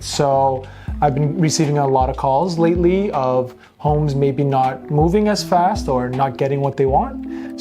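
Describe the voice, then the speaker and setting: A man speaks earnestly and conversationally as an interview guest, sometimes restarting his sentences. His voice has a middle pitch. Steady music sits behind him. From Boston. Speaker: real estate agent, guest.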